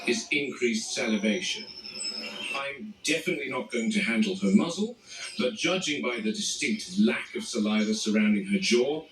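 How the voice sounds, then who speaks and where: A man plays a grave, wary animal handler narrating a found-footage documentary. He speaks in measured, formal phrases at a medium pitch. The sound is echoey and distant, with clear tape-like noise. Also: lively intonation, fairly bright tone, natural voice. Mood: clinical, wary. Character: male game warden and animal expert, grave, wary, exacting.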